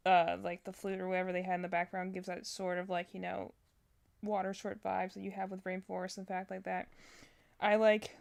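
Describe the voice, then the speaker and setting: A woman livestreamer reacting to game trailers in casual chat, rambling and thinking aloud. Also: medium pitch.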